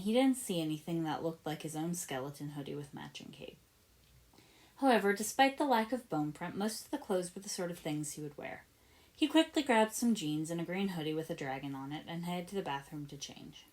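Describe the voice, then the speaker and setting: A woman's voice: low voice, darkish tone. A woman narrating fiction as an amateur audiobook reader, with expression in the scripted prose.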